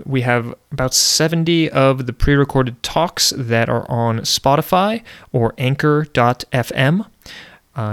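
A man hosting a podcast, introducing a guest and asking questions in a relaxed way, often saying "uh". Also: mid-range voice, smooth. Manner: relaxed, with frequent "uh"s. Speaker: podcast host and interviewer.

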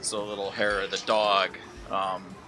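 Sharp, forceful low-voiced man. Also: flat delivery, unhurried, soft background music. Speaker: tabletop game player. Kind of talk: casual in-game narration.

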